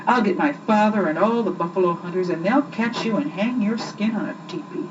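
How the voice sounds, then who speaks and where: A woman's voice: medium pitch, brisk, distant mic, natural voice. A woman voicing a small, earnest, indignant boy hunter in a storybook reading, on an old amateur recording. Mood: threatening. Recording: muffled, noisy old amateur home recording.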